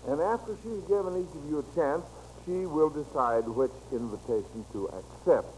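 A man's voice: a little high, moderate pace, darkish tone. An American man hosting an old-time radio quiz show, encouraging and praising the contestants as he reads out questions. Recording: noisy old radio recording.

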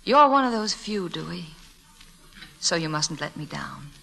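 Bright-voiced, tender schoolteacher. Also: female voice, low voice, very animated, unhurried, gravelly, faint music behind, full character voice. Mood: tearful tenderness. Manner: tender, earnest, theatrical delivery. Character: gentle, tender woman schoolteacher, earnest and sentimental. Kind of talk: 1940s radio drama acting.

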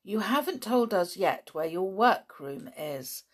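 A woman's voice: mid-range voice, lively intonation, takes it slowly. A woman voicing a curious, determined boy who keeps pressing for answers. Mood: insistent. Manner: eager and pushy.

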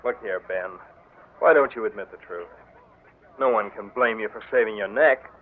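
An actor playing a sly office clerk who keeps smoothly casting suspicion, in an old radio drama. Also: male voice, fairly low pitch, normal speed, phone-quality sound, a little hiss or hum, natural voice. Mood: insinuating. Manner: insinuating, smooth. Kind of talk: 1940s radio acting. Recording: thin old radio broadcast.

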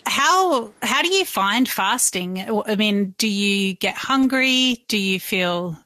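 Woman podcast host; bright voice, curious interviewer. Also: medium pitch, lively intonation, slow pace, dry recording. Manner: friendly, curious questions.

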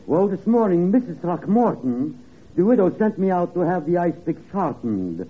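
An actor playing a sinister, droll butler with a nasal voice and deadpan manner in a 1950s radio comedy. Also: male voice, high voice, normal speed. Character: sinister, droll male butler in a murder spoof. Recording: tinny, very hissy old radio recording.

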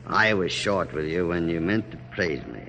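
An actor playing a weary, wry, middle-aged general in a formal, measured classic radio drama style. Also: male voice, low voice. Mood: reflective. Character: weary, dignified American general of forty-five, dryly wry. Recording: noisy old radio recording.

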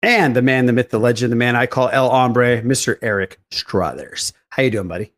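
A man's voice: medium pitch, normal speed. A man hosting a podcast in casual, chummy banter with his co-hosts. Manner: animated, chummy, addresses co-hosts as buddy. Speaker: podcast host.

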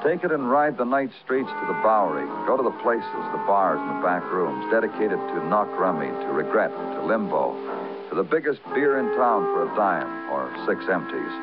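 Weary American city detective, radio noir: lowish, swinging delivery, terse and poetic. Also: male voice, sing-song, moderate pace. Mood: brooding, poetic. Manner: terse questions, brooding rhythmic narration. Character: weary, poetic big-city police detective narrating his case. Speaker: lead actor and narrator. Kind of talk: 1950s radio noir acting. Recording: muffled vintage radio recording with music.

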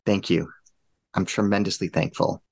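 A man's voice: deep voice, flat delivery, moderate pace, gravelly, slightly rough. A man hosting a podcast interview, earnest and effusive with his thanks. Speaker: podcast host.

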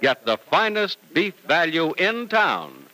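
A man's voice: on the high side, huge pitch swings, slow, bright, forceful tone, no echo, low-quality recording. A man with an American accent announcing in an old radio style, with an emphatic, polished sales delivery. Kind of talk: vintage radio serial narration and ad reading.

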